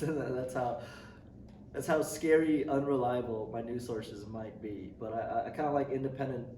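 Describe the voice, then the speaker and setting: A young man from Indonesia answering interview questions casually, with many ums, restarts and light laughs. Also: medium pitch. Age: young adult. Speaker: student interviewee. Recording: roomy, distant mic, noisy.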